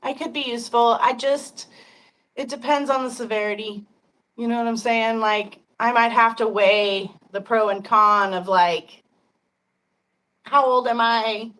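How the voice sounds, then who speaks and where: Woman in her 50s, livestream host, chatty and frank, laughs a lot, fairly high voice. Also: fairly even intonation, a little slow, a bit bright, poor audio. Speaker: podcast host. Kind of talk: casual livestream chat.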